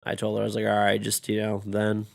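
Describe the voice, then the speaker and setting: Gravelly, profane streamer losing his voice. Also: on the low side, completely flat delivery, quick pace. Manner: loose, profane, rambling. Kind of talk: casual chat with stream chat.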